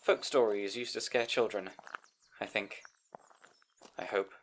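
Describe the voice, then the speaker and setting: A man's voice: fairly low pitch, fairly even intonation, a little slow, fairly bright tone, slight background noise. Man acting a nervous, stammering young scribe. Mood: nervously excited. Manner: anxious, hesitant, stammering. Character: nervous, bookish young male scribe, timid and eager. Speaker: audio roleplay performer. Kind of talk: audio roleplay acting, addressing the listener.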